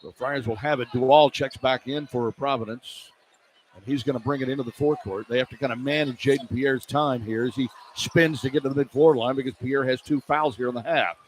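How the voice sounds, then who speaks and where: A man's voice: mid-range voice, steady tone, brisk. A man calling live basketball play-by-play on the radio from an indoor arena. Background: clear arena noise.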